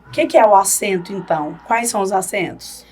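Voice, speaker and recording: Brazilian teacher speaking a bit off-mic. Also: female voice, mid-range voice, fairly quick, breathy edge, recorded from a distance. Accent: Brazilian Portuguese. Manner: teacherly, checks answers with prompting questions. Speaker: Portuguese teacher. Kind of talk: classroom-style explaining and quizzing.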